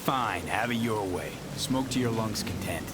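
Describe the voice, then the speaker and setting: An actor playing a cool, practical mercenary in a video game, speaking in a terse, matter-of-fact way. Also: male voice, on the low side, expressive, unhurried. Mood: guarded. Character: cool, practical male mercenary in a cyberpunk city. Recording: music behind the voice.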